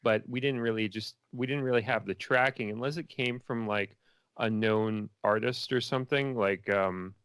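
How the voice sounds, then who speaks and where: A man's voice: mid-range voice, fairly even intonation, moderate pace, a bit bright, clean tone, dry recording. A middle-aged man giving casual, reflective interview answers, feeling his way through his thoughts as he talks. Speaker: interview guest, filmmaker.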